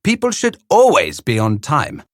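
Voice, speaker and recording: A man's voice: fairly high pitch, wildly swooping pitch, unhurried, dry recording, studio quality. A man reading the part of a fussy, precise older detective in a polite, formal way. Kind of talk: scripted reading for learners.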